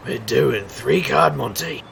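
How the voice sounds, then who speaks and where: Man playing a gravelly, cocky street magician sleuth. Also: mid-range voice, slow pace, a crowd in the background. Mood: showman patter. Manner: showman's sing-song patter, wry and theatrical. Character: male street magician private investigator, cocky, wisecracking trickster. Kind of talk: scripted audio drama acting.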